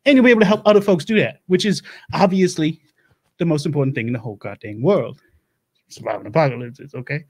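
A man playing a rambling doomsday-prepper host, talking fast, stumbling over words and jumping into loud, high bursts. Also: breathy edge. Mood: manic rambling. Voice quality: rapid, stumbling, loud bursts, drawling asides. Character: rambling Louisiana doomsday-prepper man, manic, self-assured. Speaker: livestream show host. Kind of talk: improv character comedy.

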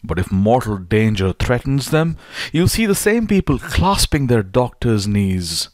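A man narrating a philosophical audiobook from a script, with measured, deliberate and emphatic phrasing. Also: medium pitch, big pitch swings, a little mellow, dry recording. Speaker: audiobook reader.

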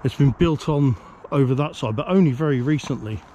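A man's voice: medium pitch, fairly quick, mellow, slight background noise. A man giving a casual exploring commentary, musing and putting questions to himself as he goes. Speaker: presenter of a canal exploring series. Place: outdoors by a canal bridge and road.

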